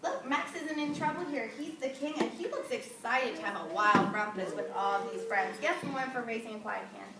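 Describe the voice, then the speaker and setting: A woman teacher reading a picture book aloud to young children, expressive and often prompting them with questions. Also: fairly high pitch, moderate pace, few pauses. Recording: distant, echoey noisy classroom.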